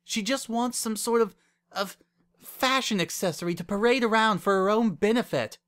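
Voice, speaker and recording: A man's voice: sits high, animated, a little slow, a bit bright, voice pushed up. A man voicing an earnest, worried young female pony who pleads urgently, in a story reading. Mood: urgent, insistent.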